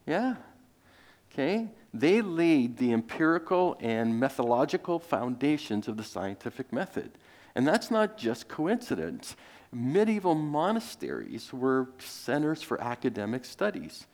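A man's voice: mid-range voice, big pitch swings, measured pace, a little mellow. Male preacher, Greek first language; loose, rambling sermon delivery full of restarts and asides. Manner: rambling, many restarts and fillers, chuckles. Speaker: pastor.

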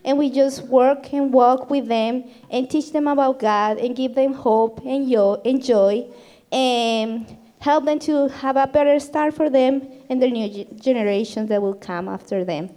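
Colombian woman, high voice, light Spanish accent, shy friendly church greeting. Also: fairly even intonation, measured pace. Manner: laughs often, shy and gentle. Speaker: pastor's wife, ministry leader. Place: church sanctuary.

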